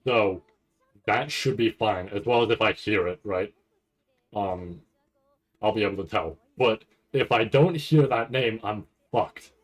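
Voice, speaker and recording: Anxious, rambling man, lowish voice. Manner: rambling, halting, repeats words; anxious, nervous anticipation. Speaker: anime commentator reacting to a livestream event. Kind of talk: casual live reaction commentary.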